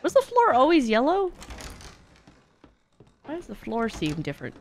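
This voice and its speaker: A young woman hosting a gaming livestream, chatting casually, reacting loudly and swearing freely. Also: sits high, wildly swooping pitch, normal speed, a little hiss or hum. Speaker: livestream host and speedrunner. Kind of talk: casual gaming stream commentary. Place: indoors, gaming room at home.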